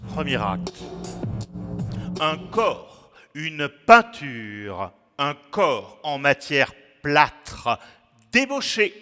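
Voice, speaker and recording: A man reciting his own poem theatrically, with dramatic pauses and sudden swings between hushed and loud delivery. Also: on the high side, very animated, soft background music. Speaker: poet performing his own text. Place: large echoing museum gallery, indoors. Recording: reverberant hall echo.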